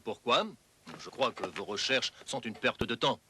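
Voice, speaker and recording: A man's voice: mid-range voice, a little slow, pushed and bright, slight background noise. A man playing a stern, dismissive scientist and sceptical colleague in a dubbed educational cartoon. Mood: exasperated, disapproving. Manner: dismissive, emphatic.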